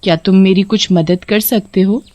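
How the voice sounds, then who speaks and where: A woman's voice: mid-range voice, steady tone, normal speed, warm tone, no room sound, natural voice. A woman voicing a shape-shifting female witch who lures men with sweet talk and cackles, in a cartoon horror story.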